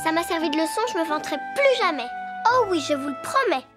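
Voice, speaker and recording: A woman's voice: very high voice, sing-song, fast. A woman voicing a boastful, playful young girl elephant in a children's cartoon. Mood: self-important. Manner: boastful, exclamatory. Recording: music behind the voice.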